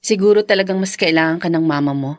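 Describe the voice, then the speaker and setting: A woman's voice: lowish voice, fast talker, no room sound, close to an everyday voice. A woman plays a young Filipino wife and mother in a radio drama. She sounds wounded and resentful, with an emotional, plaintive delivery in a dry studio recording. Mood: quietly hurt.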